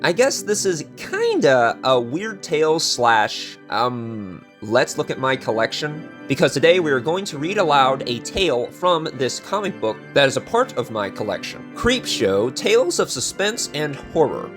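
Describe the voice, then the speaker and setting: A man's voice: medium pitch, big pitch swings, a little slow, few pauses, fairly bright tone, faint music behind. A man presenting a casual video introduction in a chatty, opinionated way.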